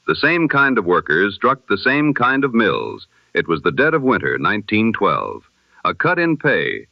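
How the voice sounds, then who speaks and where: A man presenting a scripted news bulletin in 1920s radio style, with measured, solemn, oratorical phrasing and a vintage radio filter. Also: fairly low pitch, dry recording. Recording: dull, band-limited old radio sound.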